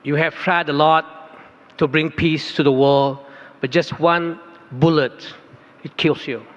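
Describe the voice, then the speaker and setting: A man's voice: a little high, steady tone, unhurried. A man from Thailand with a Thai accent, speaking English as a second language and giving an earnest conference keynote speech that builds to emphatic stress. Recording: reverberant hall, dull lo-fi sound.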